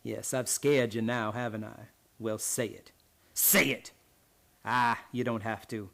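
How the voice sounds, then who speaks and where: An actor playing a bitter old servant in a radio drama, speaking in deliberate, folksy, menacing phrases. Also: male voice, fairly low pitch, fairly even intonation, gravelly, a bit bright. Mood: bitter, gloating. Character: bitter old servant boatman, gloating with lifelong hatred.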